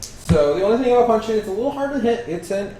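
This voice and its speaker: A man's voice: high-pitched, animated, fast, dark tone, faint music behind. A man co-hosting a casual tabletop game stream, chatty and easygoing as he plays his turns. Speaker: co-host and player. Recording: echoey room with faint noise.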